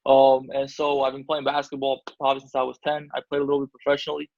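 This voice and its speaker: Man from Albania, basketball agent, rambling fast, dry dull-sounding recording. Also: medium pitch, monotone, gravelly, darkish tone, dry recording. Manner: rambling, many fillers and restarts. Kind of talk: casual interview answers.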